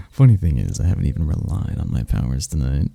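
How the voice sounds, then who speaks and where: A man playing a suave, arrogant demon prince of lust in an intimate ASMR roleplay, with a gravelly, breathy voice and a smooth, taunting manner. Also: a bass voice, dark tone, no room sound, exaggerated voice. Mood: seductive, smug. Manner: smooth-talking, taunting, unhurried, dropping into whispers. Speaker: voice actor.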